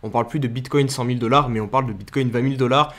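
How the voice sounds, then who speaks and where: A man's voice: mid-range voice, steady tone, racing. A young man chatting casually through crypto news over a screen recording, loose and full of fillers and restarts. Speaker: crypto YouTuber.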